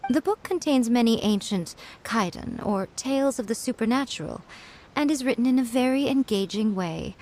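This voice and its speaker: A woman's voice: medium pitch, natural voice. A woman playing a smug, scheming lady editor. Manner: smug, teasing, composed and persuasive. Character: sly, confident adult woman editor, playful and scheming. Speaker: chief editor of a publishing house. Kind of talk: game voice acting.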